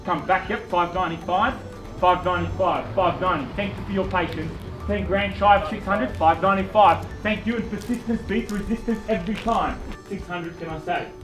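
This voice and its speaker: An Australian man in his thirties runs a live property auction, pushing bids along with punchy repetition. His voice sits high for a man. It sounds distant and echoey, with music playing underneath. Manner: fast persuasive bid calling, punchy repetition. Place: outdoor driveway of a house. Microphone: far camera.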